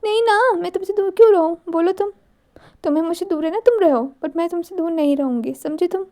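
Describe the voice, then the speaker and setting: Woman voicing a childish clingy young woman; high, mellow, babyish. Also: fairly quick. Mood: teasing. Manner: babyish, speaks of herself by name.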